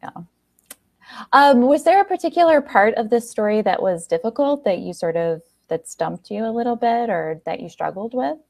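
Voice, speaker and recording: A woman's voice: fairly high pitch, lively intonation, normal speed, gravelly, a little mellow, no echo, clean studio sound. A woman hosting a podcast, chatting casually in an enthusiastic, affirming way as she interviews a guest. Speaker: podcast host.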